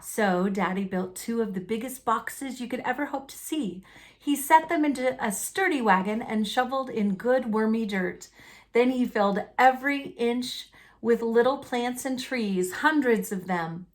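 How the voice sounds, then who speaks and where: A woman reading a children's picture book aloud in an animated, folksy storytelling manner. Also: fairly high pitch, a little slow. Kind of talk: expressive read-aloud narration of a children's book.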